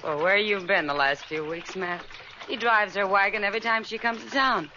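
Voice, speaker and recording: A woman's voice: medium pitch, moderate pace, bright, forceful tone, natural voice. A woman playing a shrewd, candid frontier woman with an American accent and a knowing manner, in an old radio Western. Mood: teasing, knowing. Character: shrewd, worldly frontier woman, the marshal's frank friend. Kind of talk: 1950s radio Western acting. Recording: dull, hissy old radio recording.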